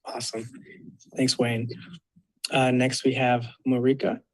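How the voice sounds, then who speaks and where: A young man moderating an online panel discussion, introducing each speaker with frequent pauses and filler sounds. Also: medium pitch, little pitch movement, poor audio. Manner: halting, many filler uhs.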